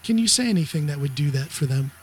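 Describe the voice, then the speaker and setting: A man's voice: a little high, expressive, quick pace, slight background noise. A man hosting a podcast interview, encouraging and folksy, with lots of short affirmations. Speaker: podcast host. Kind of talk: casual interview chat.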